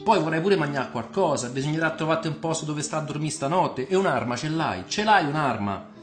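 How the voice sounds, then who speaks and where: Man acting a cocky young Roman lad, high-pitched. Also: lively intonation, a little fast, echoey room, faint music behind, close to an everyday voice. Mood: eager, friendly. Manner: bantering, ironic, colloquial.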